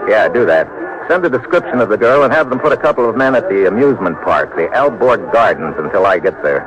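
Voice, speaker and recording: Deep-voiced hard-boiled radio agent played by a man. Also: lively intonation, fast talker, lightly acted. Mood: wry, matter-of-fact. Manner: clipped, hard-boiled, terse. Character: tough, wry American male government agent. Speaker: lead actor and narrator. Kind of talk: old-time radio detective drama acting. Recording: dull vintage radio recording.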